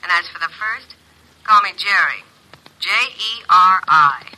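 Brassy tipster on the telephone. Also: female voice, fairly low pitch, expressive, unhurried, pushed and bright, full character voice, low-quality recording. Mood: teasing, businesslike. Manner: tough, slangy, teasing. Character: brassy, mercenary young female tipster. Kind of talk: 1950s radio detective acting.

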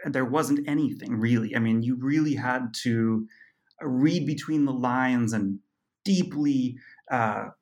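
A man's voice: mid-range voice, unhurried, darkish tone. Rambling, self-amused man, casual guest. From Costa Rica. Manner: rambling, self-amused, many ums and restarts. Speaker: author guest. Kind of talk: casual interview talk.

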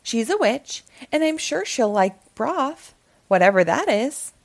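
A woman voicing an eager, boastful, easily flustered male cat in a children's storybook, in an excitable, dramatic manner. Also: fairly high pitch, unhurried, creaky, full character voice. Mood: excited, boastful. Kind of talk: animated children's storybook character reading.

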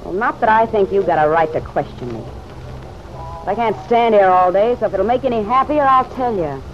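A woman acts out a hard-edged city gal who is guarded and quick to take offense. She talks in curt, defiant slang, in old-time radio drama style. The sound is a dull vintage recording with faint noise. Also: medium pitch, expressive, lightly acted. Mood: defensive, irritated. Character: tough, guarded city woman, huffy and defensive.

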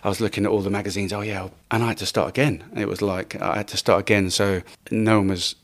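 A man's voice: on the low side, steady tone, fast. A middle-aged man from Wales, a guest telling casual stories about his career, often swearing and acting out what people said. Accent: English, lost Welsh accent. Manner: swearing, laughing, acts out quotes. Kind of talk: casual interview storytelling.

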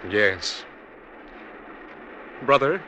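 An actor playing a smooth-talking, treacherous younger brother in an old-time radio drama, formal and insistently brotherly. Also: male voice, mid-range voice, sing-song, drawn-out words, sharp tone, lo-fi sound, slight background noise, exaggerated voice. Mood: falsely brotherly.

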